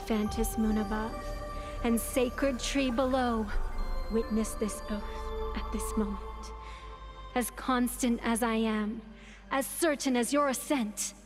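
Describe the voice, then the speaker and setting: An actress voicing a teasing, haughty and enigmatic young woman in a theatrical, measured anime game style. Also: on the high side. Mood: solemn. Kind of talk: dramatic anime game voice acting.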